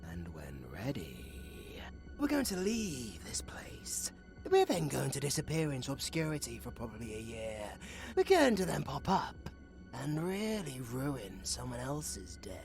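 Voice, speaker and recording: A man with a British accent playing a flirtatious, gleefully wicked undead necromancer in a theatrical, taunting audio roleplay, slipping between breathy whispers and shouts. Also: medium pitch, wildly swooping pitch, a little slow, music in the background, full character voice. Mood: scheming. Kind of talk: ASMR audio roleplay acting.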